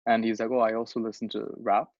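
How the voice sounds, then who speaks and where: A man's voice: fairly low pitch, level tone, fast, no echo, highs cut off. A young man from Bangladesh co-hosting a podcast, telling a story in a rambling, casual way with lots of restarts and fillers. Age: young adult. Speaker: podcast co-host. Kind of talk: casual chat.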